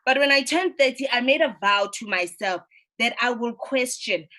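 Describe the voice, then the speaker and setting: Earnest high-voiced woman in her thirties. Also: normal speed. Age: 30s. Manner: earnest, animated, many tag questions. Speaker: roundtable host. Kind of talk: virtual roundtable discussion. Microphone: video call.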